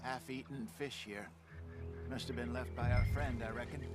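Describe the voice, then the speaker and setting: An actor playing an older outlaw hunter in a Western video game, proud and wry, with a folksy way of talking. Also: male voice, medium pitch, flat delivery, moderate pace, loud music behind the voice, close to an everyday voice. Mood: calm, wry. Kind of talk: video game dramatic acting. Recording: clear noise of outdoor game ambience.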